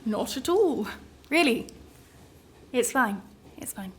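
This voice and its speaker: An actress playing a nervous, tired, self-deprecating woman on a train in a radio play, halting and apologetic, often repeating herself. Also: a little high, animated. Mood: flustered. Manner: halting, apologetic, rambling and repetitive.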